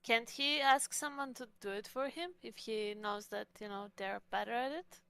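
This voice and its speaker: A woman's voice: on the high side, moderate pace, vocal fry, a bit bright, clear, resonant tone, dry recording. A woman speaking casually as a player in a tabletop game.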